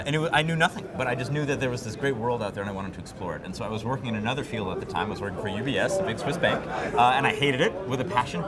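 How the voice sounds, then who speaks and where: An American man in his thirties, a guest in an interview, talking fluently and confidently with many fillers at a trade fair. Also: on the low side. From United States. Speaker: guest, website founder. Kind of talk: conversational interview. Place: busy trade fair booth. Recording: loud crowd chatter behind.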